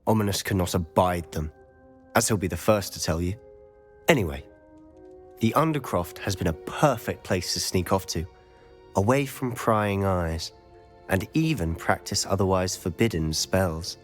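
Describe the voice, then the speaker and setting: A man's voice: low voice, normal speed, soft background music, close to an everyday voice. A young man playing a confident, secretive student in a game cutscene, eager and conspiratorial. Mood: confiding.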